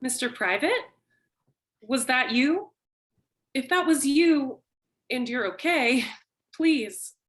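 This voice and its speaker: Woman playing a slow, high-voiced desperate noir dame. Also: lively intonation, fairly bright tone, full character voice. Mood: desperate, timid. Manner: halting, pleading. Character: desperate, hesitant female client, noir dame in trouble. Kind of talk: film noir stage dialogue.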